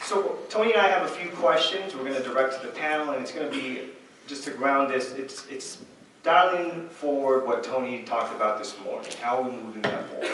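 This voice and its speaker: A man moderating a panel at a live event, speaking loosely with lots of fillers and tag questions. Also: medium pitch. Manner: loose, filler-heavy, says "right?". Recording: echoey hall, far from the mic, faint noise.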